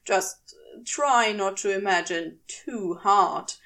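A woman's voice: mid-range voice, takes it slowly, pushed and bright. A woman reading the part of a casually bossy, teasing male demon in a story, with a clipped, commanding manner. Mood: coaxing, teasing.

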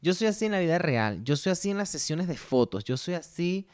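A man's voice: fairly high pitch, very animated, brisk, a little mellow, smooth, no echo, clean studio sound. A man in his thirties from Venezuela with a Venezuelan Spanish accent, hosting a casual solo podcast from a home set in a playful, self-mocking way and laughing often. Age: 30s. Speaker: podcast host.

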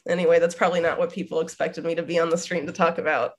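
A woman's voice: on the low side, flat delivery, racing. A woman in her thirties, slightly nasal from a cold, talking as a guest in a rambling way with lots of fillers. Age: 30s. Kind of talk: casual conversation.

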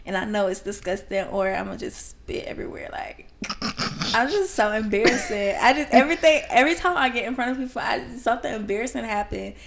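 A woman's voice: on the high side, brisk, gravelly, slight background noise. A young woman co-hosting a podcast in casual chat, rambling and self-conscious with a slight lisp, laughing often. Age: young adult. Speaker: podcast co-host.

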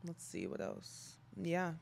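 A woman's voice: fairly low pitch, big pitch swings, takes it slowly, creaky, darkish tone, a little hiss or hum. Laid-back young woman from Texas. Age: 20s. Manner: laid-back, hesitant, trails off. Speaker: guest, college basketball player. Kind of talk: casual chat.

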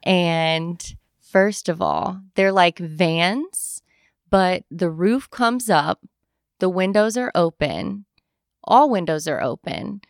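A young adult woman chatting casually, telling animated travel stories and acting out what people said. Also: lowish voice, slow pace, vocal fry, no room sound, studio quality. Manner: animated storyteller, acts out quoted voices. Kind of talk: casual chat.

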